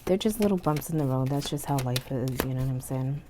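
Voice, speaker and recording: A woman giving a casual tarot reading, sounding stuffy and hoarse from illness, chatty with frequent "okay?" and "you know". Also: extremely low pitch, a little fast. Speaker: tarot reader. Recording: faint background noise.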